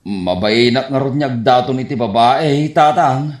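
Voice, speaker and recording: A man's voice: mid-range voice, moderate pace, roomy sound, natural voice. A man with an Ilocano accent playing a painfully shy, flustered young bachelor who stammers around women in a radio comedy drama. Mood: mild protest.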